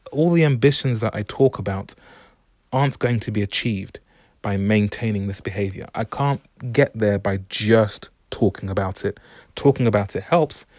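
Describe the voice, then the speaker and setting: A young man hosting a solo podcast, talking in a relaxed, reflective, unhurried way. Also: on the low side, dry recording, clean studio sound. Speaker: podcast host. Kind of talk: casual solo podcast monologue.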